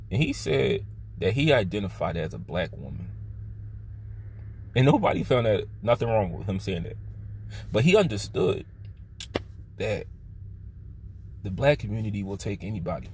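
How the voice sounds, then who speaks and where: A young man talking to a phone camera from inside a parked car, thinking aloud in a halting way, with restarts and fillers, his pitch jumping up on stressed words. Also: big pitch swings, vocal fry, darkish tone, a little hiss or hum. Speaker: vlogger. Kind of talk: casual opinion monologue to camera. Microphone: phone camera held at arm's length.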